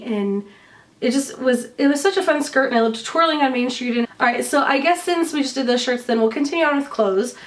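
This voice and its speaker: A woman's voice: on the high side, recorded from a distance. A woman chatting casually to camera with a stuffy nose as she recovers from bronchitis, cheerful and full of ums. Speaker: vlogger showing a shopping haul.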